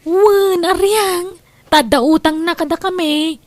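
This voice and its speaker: A woman playing a high-voiced scheming wife. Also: slow pace, dry recording. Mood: wheedling. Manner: wheedling, pushy. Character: scheming wife, a grown woman who keeps borrowing money. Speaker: lead character Amy. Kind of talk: Ilocano audio drama acting.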